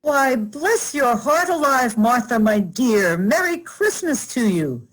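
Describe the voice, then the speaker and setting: A woman's voice: a little high, very animated, takes it slowly, exaggerated voice, low-quality recording. A woman playing a fond, fretful middle-aged mother in a radio play. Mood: fond, motherly.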